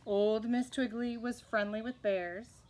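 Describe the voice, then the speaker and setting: A woman reading a children's picture book aloud expressively, in measured, rhythmic verse. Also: a little high, fairly even intonation, darkish tone. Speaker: read-aloud storyteller.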